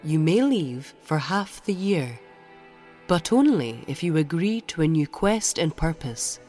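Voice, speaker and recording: Audio drama role: stern ruling goddess, deep register, formal measured delivery, faint score underneath. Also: female voice, very animated, mellow, soft background music. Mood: commanding.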